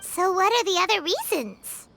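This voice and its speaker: A woman's voice: very high-pitched, animated, moderate pace, vocal fry, a bit bright, heavily acted. A woman voicing a tiny, bubbly, curious fairy companion in an anime game, exclaiming in a childlike way. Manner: speaks of self in third person, exclamatory.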